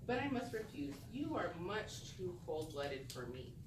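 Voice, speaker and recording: Storybook young lady cockroach, proud yet shy, played by a woman, expressive, distant and echoey. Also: on the low side, measured pace. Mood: icy. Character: young female cockroach, sweet, proud, shy suitor-chooser. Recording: echoey room, distant mic, noisy background.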